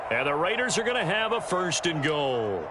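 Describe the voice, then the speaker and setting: A man's voice: fairly high pitch, big pitch swings, normal speed. A man voicing a play-by-play announcer in an American football video game, with an American accent and punchy, polished broadcast phrasing. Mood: focused. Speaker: play-by-play sports commentator. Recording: loud music and noise behind.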